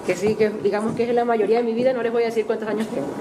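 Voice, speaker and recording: Venezuelan woman guest speaker, bright-ish higher voice, chatty and self-mocking, noisy hall recording. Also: level tone, very fast. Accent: Venezuelan Spanish. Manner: chatty, self-deprecating, with light laughs. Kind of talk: lecture talk to a class. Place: university auditorium.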